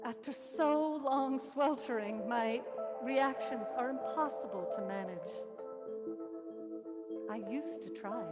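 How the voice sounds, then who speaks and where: A woman playing the Earth as a weary, reproachful ancient mother in a staged play reading, speaking solemnly and deliberately with wry asides. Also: on the high side. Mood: weary, bitter. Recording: music behind the voice. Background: plucked guitar music.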